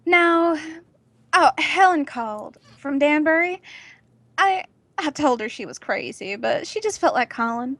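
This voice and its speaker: A suburban housewife acted by a woman in an amateur radio drama, with a high, very animated voice. She sounds evasive and nervous, hesitating over her words in a dry recording. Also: a little slow, no echo, exaggerated voice. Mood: pleasant. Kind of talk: amateur radio play reading.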